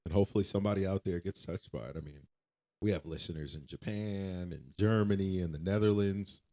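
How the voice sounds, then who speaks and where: A young man with a very low voice talking earnestly on a podcast. He sounds reassuring and circles back over his own words as he thinks aloud. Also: a little fast, darkish tone. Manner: earnest, reassuring, repeats himself. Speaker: podcast co-host. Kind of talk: casual faith-based podcast chat.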